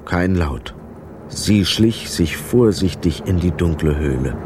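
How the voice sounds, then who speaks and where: A man's voice: low voice, expressive, takes it slowly, background noise. A man narrating a children's radio play as a scripted storyteller.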